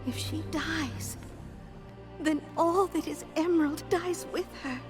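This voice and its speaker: High-voiced, pleading fairy servant. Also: female voice, takes it slowly, music in the background. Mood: earnest pleading. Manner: earnest, reverent, pleading. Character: gentle pleading fairy woman serving her queen. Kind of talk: fantasy game cutscene acting.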